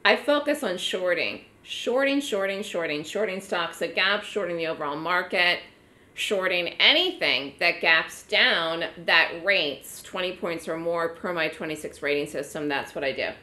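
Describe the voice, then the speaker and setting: Hoarse woman teaching a trading lecture. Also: lowish voice, lively intonation, normal speed, sharp tone, echoey room. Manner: chatty, repeats words for emphasis. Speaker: trading educator running a trading room. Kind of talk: live online trading lecture.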